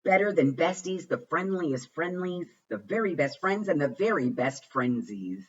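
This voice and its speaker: A man giving an expressive read-aloud of a rhyming children's picture book, with deliberate, dramatic phrasing. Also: on the high side, slightly rough. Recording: muffled, distant microphone.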